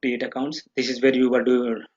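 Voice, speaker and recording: Brief: male trainer, mid pitch, talks through setup steps with lots of "okay"s, dull lo-fi audio. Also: flat delivery, a little fast, darkish tone. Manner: explanatory, full of "okay" checks and restarts. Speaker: software trainer. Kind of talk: live online training session.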